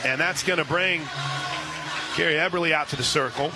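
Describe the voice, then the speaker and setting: An American man doing live radio play-by-play of softball, chatty and folksy between pitches. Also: a little fast, over music. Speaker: play-by-play announcer. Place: outdoor softball stadium. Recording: noisy outdoor ballpark broadcast. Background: loud ambient ballpark noise.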